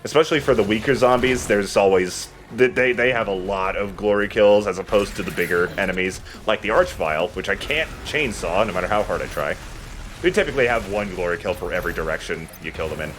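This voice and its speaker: A man's voice: fairly low pitch, fairly quick, music in the background. A man giving casual commentary as he plays a video game and hosts for friends. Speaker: let's play host and player.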